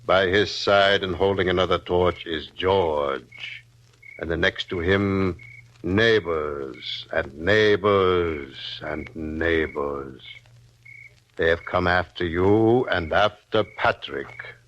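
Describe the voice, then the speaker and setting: An actor playing a sullen farmer accused of murder, speaking in flat, guarded lines in a 1950s radio drama. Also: male voice, deep voice, takes it slowly. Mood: grim. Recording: dull old radio broadcast, faint hiss.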